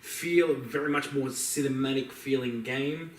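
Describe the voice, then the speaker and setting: A man's voice: mid-range voice, unhurried. An Australian man co-hosting a podcast, chatting casually with emphatic opinions. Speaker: podcast co-host. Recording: echoey room, distant from mic.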